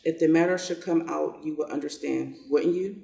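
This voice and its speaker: A man's voice: fairly high pitch, steady tone, moderate pace, vocal fry, warm tone. A man playing a pompous, self-absorbed Japanese general who excuses himself, in a staged reader's theater drama. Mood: self-excusing. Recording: roomy, distant microphone.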